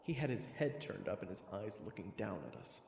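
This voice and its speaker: A man's voice: lowish voice, lively intonation, brisk, vocal fry, noisy background. A man playing a brash, sarcastic human hero who narrates his fantasy adventure in an informal, wry way. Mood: observant, wry. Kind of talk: first-person story narration. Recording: echoey reverberant sound, music behind.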